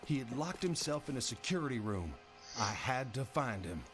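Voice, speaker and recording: Male survivor played by an actor, mid-pitched, grave game cutscene narration of a zombie outbreak, prominent music underneath. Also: big pitch swings, moderate pace, close to an everyday voice. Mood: grave, recounting. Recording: game audio with music over it.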